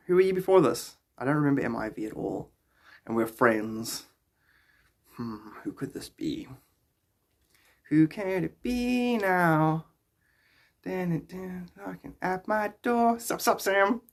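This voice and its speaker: A man's voice: high-pitched, lively intonation, fairly bright tone. A man in his thirties with a South African accent, chatting casually and playfully in slang as he hosts a live stream, close to a phone in a small room. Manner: playful, slangy, greeting viewers in drawn-out exclamations. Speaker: live streamer and podcast host.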